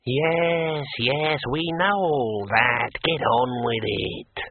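A man's voice: medium pitch, very slow, narrow, tinny audio, background noise. A man voicing the thin, snappish, impatient voice of an undead old lord in an audiobook. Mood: peevish, impatient.

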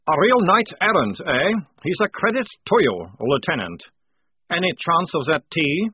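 A man voicing a cocky, relaxed captured sergeant with a sarcastic, mocking drawl. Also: on the high side, a little slow, breathy edge, no echo, phone-quality sound, pitched above the performer's own voice, exaggerated voice. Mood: impressed.

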